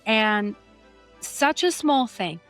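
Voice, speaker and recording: A woman's voice: fairly high pitch, expressive, very slow, fairly bright tone, soft background music. A woman from Greece, a podcast guest, explaining her views in an earnest, conversational way with occasional restarts. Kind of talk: conversational interview.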